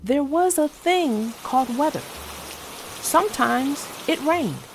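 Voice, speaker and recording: A woman reading a picture book aloud, her pitch swooping through a lively, sing-song range. She takes her time over each line, and soft music plays beneath her. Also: slow. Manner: animated, sing-song, expressive. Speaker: storyteller. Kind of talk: children's book read-aloud.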